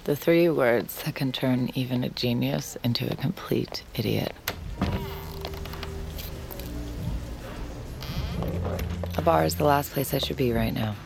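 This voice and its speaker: A woman's voice: a bass voice, normal speed, creaky, background noise, exaggerated voice. An actress playing a wary, lovesick young woman in an intimate audio drama, with a dry, sardonic and guarded manner. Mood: wry, bitter. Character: wary, lovesick young woman with secret mind-reading power. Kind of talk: intimate audio drama acting with inner narration.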